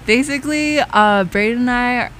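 A young woman in her twenties chats on air as a radio co-host, upbeat and giggly. She talks at an unhurried pace. A faint hum of ambience sits behind her. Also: sits high, fairly bright tone. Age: 20s. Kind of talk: casual radio chat.

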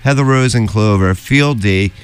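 Chatty Boston radio host, smooth voice. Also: male voice, lowish voice, moderate pace. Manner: relaxed, chatty, laughs easily. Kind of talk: casual radio interview.

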